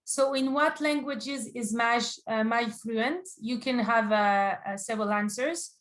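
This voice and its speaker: A woman's voice: fairly high pitch, a little slow. A woman moderating a webinar over a video call, talking hesitantly with many restarts and frequent light laughs. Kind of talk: hosting a live webinar.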